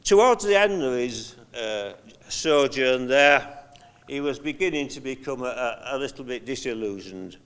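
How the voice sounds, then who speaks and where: A man's voice: medium pitch, lively intonation, fairly bright tone, clean tone. Older man speaking in a reverberant hall. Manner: halting, conversational, with pauses and wry anecdotes. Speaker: eulogy speaker, friend of the deceased. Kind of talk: memorial eulogy, anecdotal storytelling from notes. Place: large hall at a memorial service.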